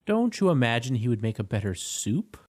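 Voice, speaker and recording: A man voicing a kindly, steady tin woodman in a storybook reading. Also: medium pitch, fairly quick, no room sound, clean studio sound.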